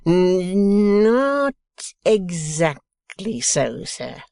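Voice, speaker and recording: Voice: medium pitch, sing-song, vocal fry, darkish tone, clear, resonant tone, dry recording, studio quality, pitched above the performer's own voice, heavily acted. Mr Wegg, a smug, fawning man as a reader puts him on. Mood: obsequious. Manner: slow, polite, sir-peppered. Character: deferential, self-satisfied man with a wooden leg. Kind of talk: audiobook character reading.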